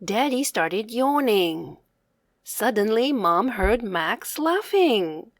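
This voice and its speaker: A woman's voice: a little high, no echo, studio quality. A woman reading a children's story aloud in simple, separated phrases with a teacherly manner.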